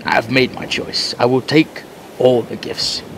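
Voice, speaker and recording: A man voicing a proud, stubborn crab who bargains slowly and turns gleeful. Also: medium pitch, vocal fry, a bit bright, a little hiss or hum, rough recording. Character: proud giant crab, stubborn, later tiny.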